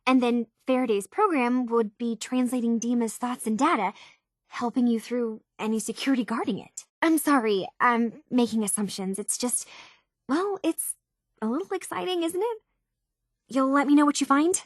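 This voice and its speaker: An actress voicing a young runaway woman in a video game, earnest and inquisitive, with an anxious edge. Her voice sits fairly high and her delivery is natural and a little hesitant, recorded very dry. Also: moderate pace, no echo, lightly acted. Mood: shyly excited.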